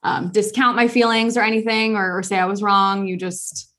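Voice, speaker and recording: A woman's voice: medium pitch, flat delivery, moderate pace, fairly bright tone. A young adult woman hosting a podcast, talking earnestly in casual conversation with lots of fillers and restarts. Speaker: podcast host.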